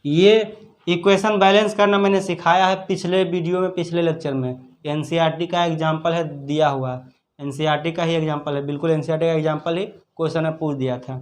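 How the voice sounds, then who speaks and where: A man's voice: fairly high pitch, steady tone, brisk. A man teaching chemistry step by step as an instructor, repeating his points for students. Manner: repetitive, instructive. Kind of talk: step-by-step exam problem lesson.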